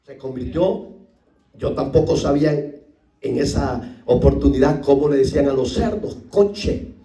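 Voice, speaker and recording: A middle-aged man from El Salvador with a Salvadoran accent, preaching a sermon as a pastor and acting out the voices in his stories, rising to shouts. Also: medium pitch, a little slow, creaky, distant mic. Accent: Salvadoran Spanish. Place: church hall. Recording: echoey room, voice from off the mic.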